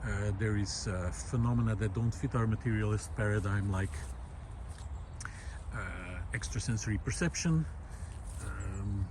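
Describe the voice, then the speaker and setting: A man's voice: fairly low pitch, background noise. Reflective man musing outdoors. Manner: reflective, pausing often with "uh". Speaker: video essayist. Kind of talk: musing walk-and-talk monologue. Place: outdoor park lawn. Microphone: handheld camera while walking.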